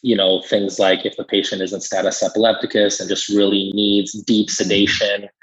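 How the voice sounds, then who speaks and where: A man's voice: fairly low pitch, little pitch movement, normal speed, fairly bright tone, breathy edge. A man explaining things conversationally as a pharmacist guest in an interview, emphatic when he agrees, on slightly muffled audio. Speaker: critical care pharmacist guest.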